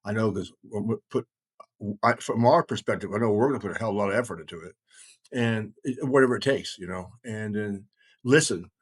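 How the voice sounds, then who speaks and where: Rambling, low-pitched middle-aged man. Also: a little fast, slightly rough. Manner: rambling, emphatic, repeats phrases for stress. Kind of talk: casual conversational talk.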